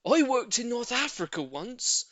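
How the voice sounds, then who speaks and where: A man voicing a shy, earnest, politely lovestruck older gentleman with a solemn, emphatic delivery in an audiobook. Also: extremely high pitch, sing-song, measured pace, no room sound, pitched above the performer's own voice, full character voice. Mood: confiding, earnest. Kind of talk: audiobook character voice.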